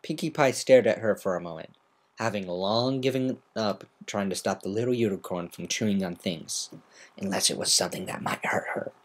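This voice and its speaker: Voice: sits low, moderate pace. An amateur narrator giving a semi-dramatic reading of a scripted story, occasionally stumbling over words.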